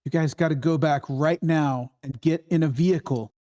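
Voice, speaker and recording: A woman's voice: sits low, normal speed, warm tone, close to an everyday voice. A woman playing an urgent firefighter bellowing orders in a disaster, at a screenplay table read. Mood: alarmed.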